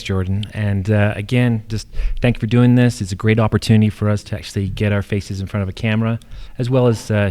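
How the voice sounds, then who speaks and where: A middle-aged British man introduces himself as a political candidate. His voice is low and very mellow, and he speaks haltingly, with frequent hesitations and repeated words. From United Kingdom. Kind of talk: candidate introduction speech at a public forum.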